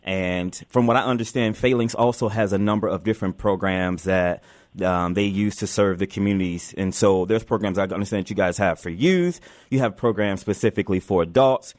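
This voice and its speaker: A man's voice: on the low side, fairly quick, dry recording. A man hosting a live community radio interview show, often repeating himself as he goes.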